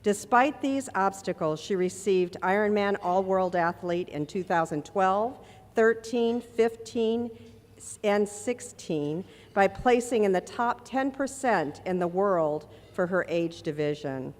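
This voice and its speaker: A woman's voice: mid-range voice, takes it slowly. Woman host reading an introduction. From Chicago area. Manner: reads steadily from notes. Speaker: event host. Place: banquet hall. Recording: echoey hall.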